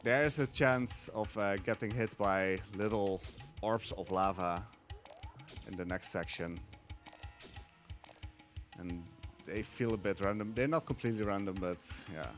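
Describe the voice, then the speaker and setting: Young man, speedrunner, hesitant with fillers, low voice, live game commentary, music behind. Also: unhurried. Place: marathon event stage.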